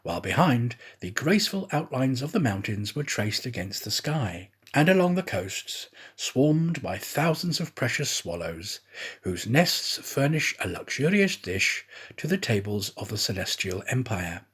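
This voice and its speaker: A man reading a classic novel aloud as a storyteller, with a theatrical, measured delivery. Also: mid-range voice, big pitch swings, fairly bright tone, breathy edge. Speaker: storyteller, audiobook reader. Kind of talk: scripted narration of a classic novel.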